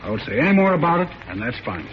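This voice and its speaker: An actor playing a smooth, colloquial husband with a falsely tender air in a 1940s style radio suspense drama. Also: male voice, on the high side, sing-song, very fast. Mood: gruff, dismissive. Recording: muffled, noisy old radio recording.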